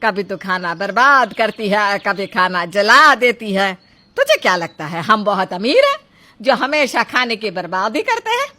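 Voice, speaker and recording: A woman playing a scolding, domineering middle-aged mother-in-law in a dramatized Hindi moral story, with sharp, accusing delivery. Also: mid-range voice, very animated, unhurried. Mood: angry scolding.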